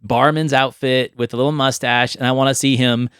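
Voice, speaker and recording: A man's voice: mid-range voice, steady tone, fairly quick, fairly bright tone, clear, resonant tone, dry recording, studio quality. A middle-aged man hosting a casual film and games podcast, chatting with an enthusiastic storytelling manner. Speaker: podcast host. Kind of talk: casual chat about films and games.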